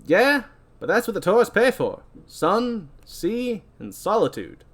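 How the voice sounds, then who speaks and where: A man's voice: high voice, very animated, a little slow, a bit bright, voice pushed up, heavily acted. A man playing an older, mocking male ferry captain in a read-through of a film script. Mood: wry.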